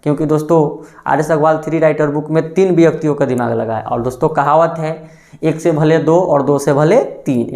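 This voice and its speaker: A man's voice: on the high side, a little mellow. A man teaching a maths lesson for exam preparation. He calls his listeners friends, asks the class a question and then answers it himself, repeating the answer.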